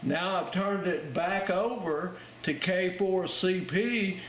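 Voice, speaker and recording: A man's voice: sits high, slow pace, a bit bright. A man giving an informal hands-on tutorial as an instructor, explaining each step plainly as he goes. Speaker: amateur radio Morse code instructor. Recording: roomy, very noisy, with clicking and beeps.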